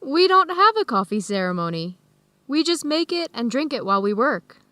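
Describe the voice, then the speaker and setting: A friendly American woman in a radio drama for language learners. Her voice sits a little high and is recorded very dry. She explains things patiently, clearly worded and even-tempered. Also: normal speed, no room sound, exaggerated voice. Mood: explaining, relaxed. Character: friendly American woman hosting Ethiopian friends, easygoing, tolerant.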